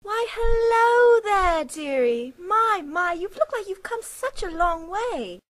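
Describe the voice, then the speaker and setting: Shrill, sugary villainous old bunny. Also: female voice, lively intonation, slow pace, very noisy, full character voice. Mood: sugary sweet. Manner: syrupy endearments turning to maniacal cackling menace. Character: sweet-talking villainous old female Easter Bunny, scheming and gloating. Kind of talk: video game cutscene acting.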